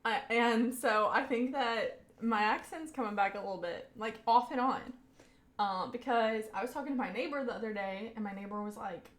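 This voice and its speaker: A young woman with a Southern lilt and a fairly high voice chats loosely with her live viewers. She giggles between words, and the sound carries the echo of the room she is in. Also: moderate pace. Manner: chatty and giggly, often laughing mid-sentence. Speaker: live streamer. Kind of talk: casual chat with a live audience.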